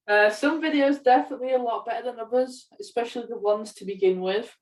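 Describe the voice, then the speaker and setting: A woman's voice: on the high side, normal speed, darkish tone. A woman co-hosting a podcast in a casual, conversational style. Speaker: podcast co-host, gaming video creator. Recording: echoey room, distant from mic.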